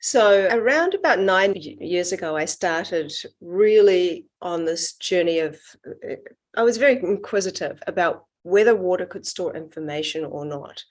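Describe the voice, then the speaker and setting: A middle-aged woman with a New Zealand accent, a guest on an interview podcast, explaining her work in an earnest, measured, reflective way. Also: on the low side, animated. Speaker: guest, water researcher.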